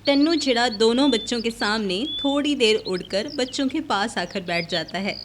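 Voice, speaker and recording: A woman's voice: fairly high pitch, normal speed. A woman reading a scripted children's story as narrator. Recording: clear background noise, birdsong behind. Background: birds chirping.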